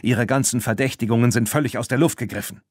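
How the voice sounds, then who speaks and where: A man's voice: mid-range voice, fairly even intonation, fast talker, no echo, studio quality, natural voice. A man voicing a smug, brazen horse dealer with mock politeness in a children's audiobook. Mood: sullen denial. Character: smug, slippery male horse dealer, defiant and insincere.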